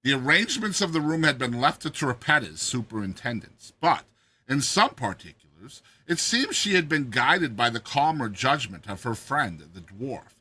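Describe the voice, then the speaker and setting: Forceful, husky male story narrator. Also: mid-range voice, big pitch swings, low-quality recording. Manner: deliberate, theatrical phrasing. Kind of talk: dramatized audiobook narration of a classic story.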